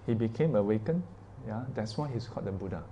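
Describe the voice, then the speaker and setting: Teacher, male, mellow, conversational, quizzes the room, slight noise under him. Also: fairly low pitch, expressive, fast talker, lo-fi sound. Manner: chatty, asks the class questions, frequent "yeah" and "ah". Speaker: Buddhist teacher. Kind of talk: informal dharma class talk.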